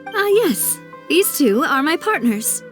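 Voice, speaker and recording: Casting: confident young female investigator in a game, high voice, polite, playful, resolute. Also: sing-song, takes it slowly, faint music behind, full character voice. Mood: polite, earnest. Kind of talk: video game dialogue acting.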